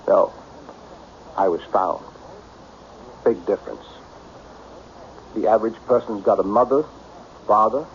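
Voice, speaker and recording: An actor playing a lonely self-made millionaire, blunt, brooding and self-pitying, in an old-time radio drama style. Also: male voice, lowish voice, unhurried, long pauses. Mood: bitter, dejected. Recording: dull, noisy old radio broadcast.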